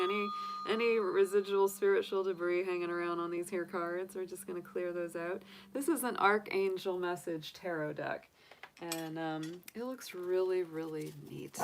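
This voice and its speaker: A woman's voice: lowish voice, gravelly, noisy background. A woman from the United States, casually chatting on a livestream as a tarot reader, rambling with many fillers and restarts. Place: home room with candles and sage.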